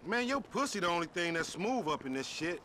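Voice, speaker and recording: A man's voice: sits high, very animated, fairly quick, pushed and bright, exaggerated voice. An actor playing a hot-headed young male gangster in a video game, boastful and profane, talking in slangy street speech. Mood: cocky. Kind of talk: video game cutscene acting. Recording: game sound effects and noise behind.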